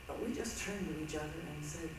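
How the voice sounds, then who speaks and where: A man's voice: fairly high pitch, quick pace, natural voice. A man performing in a reader's theatre piece as an earnest figure soberly reflecting on the past. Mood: wry. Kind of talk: staged dramatic reading. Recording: very echoey, noisy hall, distant mic.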